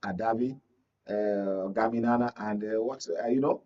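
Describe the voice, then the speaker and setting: A man's voice: fairly low pitch, moderate pace. A Nigerian man speaking as a media analyst, explaining politics and often checking that the listener understands. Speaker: media analyst, studio or call-in guest. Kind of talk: political analysis in live discussion. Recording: muffled, distant from mic.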